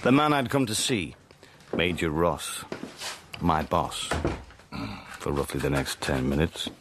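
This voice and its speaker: Casting: insolent British spy narrator, extremely low voice, sardonic, radio drama over a noisy background. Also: male voice, takes it slowly, heavily acted. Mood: dry, sardonic. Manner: deadpan, sardonic. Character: wry, insolent working-class British intelligence agent, narrating.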